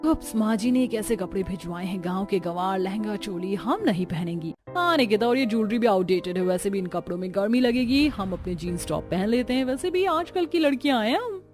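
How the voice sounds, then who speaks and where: A woman's voice: mid-range voice, expressive, fairly quick, hardly any pauses, faint music behind, close to an everyday voice. A woman playing a haughty, defiant young city daughter-in-law in a cartoon drama. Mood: scornful. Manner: disdainful, defiant.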